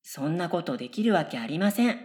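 A woman playing an oddly polite, daydreaming middle-school girl in a radio comedy, musing absurdly in earnest, deadpan, polite speech. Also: on the low side, brisk. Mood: prim. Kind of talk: scripted radio comedy dialogue.

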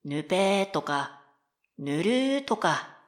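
Woman as whimsical schoolgirl, rather low pitch, very slow delivery, polite, deadpan, stubborn, radio comedy. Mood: earnestly deadpan. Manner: polite speech, deadpan, self-paced. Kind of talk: comedic radio drama dialogue.

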